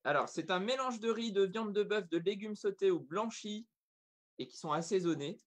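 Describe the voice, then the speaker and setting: A woman's voice: mid-range voice, normal speed. A woman hosting a guessing game in a casual, chatty way. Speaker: quiz host on a youth radio-style talk show.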